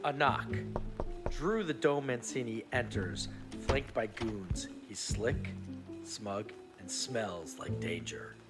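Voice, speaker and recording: A man's voice: fairly low pitch, slow pace, loud music behind the voice. A man hosting a podcast, moving between casual chat and scripted scene narration.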